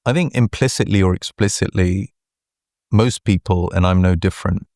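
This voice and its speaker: A man with a deep voice answering interview questions as a guest, measured and deliberate, often checking in with "right?". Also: a little mellow, no echo, studio quality. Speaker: venture capital partner, guest.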